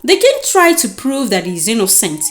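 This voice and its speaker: A woman's voice: a little high, sing-song, moderate pace. A woman with a Nigerian accent narrating a scripted folktale dramatically as a storyteller. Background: music.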